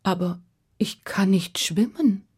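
A woman's voice: medium pitch, slow pace, a little mellow, breathy edge. A woman voicing a young male prince who sounds earnest and helpless, his voice raised and pleading.

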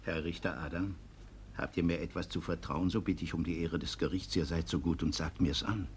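An actor playing a stern, high-ranking court inspector who questions people in a formal, commanding way in a classic radio play. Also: male voice, steady tone, fairly quick, slight background noise, lightly acted. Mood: probing, stern. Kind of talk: classic stage comedy radio play acting.

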